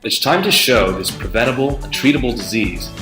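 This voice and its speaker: Rousing closing speech, echoey, music clearly under it. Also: medium pitch, lively intonation, brisk. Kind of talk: scripted closing narration.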